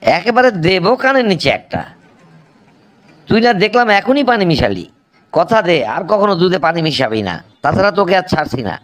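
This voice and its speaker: Guy doing a cranky cartoon boss, scolding and threatening, fairly high voice that jumps around. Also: very animated, a little fast, fairly bright tone. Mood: angry. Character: angry boss of a milkman, threatening. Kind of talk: cartoon comedy dubbing.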